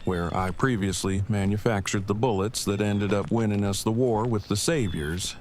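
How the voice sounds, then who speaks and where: A man playing a stiff, low-voiced pedantic inventor. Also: moderate pace, darkish tone, a little hiss or hum, natural voice. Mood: stiff, matter-of-fact. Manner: formal, verbose, precise wording. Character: Eugene, stiff, pedantic, awkward but earnest inventor man. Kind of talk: fan audio drama acting.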